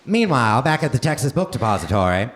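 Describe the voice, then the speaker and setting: A man narrates a live radio play in scripted announcer style. His pitch is average. The stage recording carries faint noise. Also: sing-song, fast.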